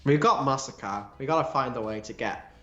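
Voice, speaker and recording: A man co-hosting a casual livestream, tossing in jokes and quick asides. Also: mid-range voice, sharp tone, dull audio, soft background music. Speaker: livestream co-host. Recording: echoey room.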